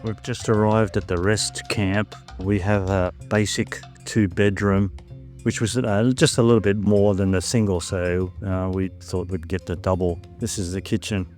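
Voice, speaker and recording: A man hosting and narrating a travel vlog in a calm, measured storytelling way. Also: low-pitched, faint music behind. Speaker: host and narrator.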